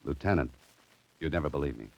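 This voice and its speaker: An American actor playing a cool, wry amateur detective, talking dryly and tersely in 1940s radio drama style. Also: male voice, very deep voice, expressive, fast talker, gravelly, breathy edge, full character voice. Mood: guarded, dry. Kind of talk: 1940s radio drama acting with self-narration. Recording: vintage radio drama, music underneath.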